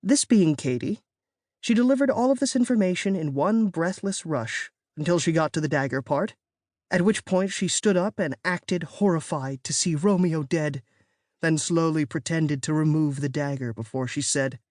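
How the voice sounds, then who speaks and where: A narrator reading a children's novel in an expressive, first-person storytelling way. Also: medium pitch, normal speed, no echo, clean studio sound. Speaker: audiobook narrator. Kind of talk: scripted audiobook narration.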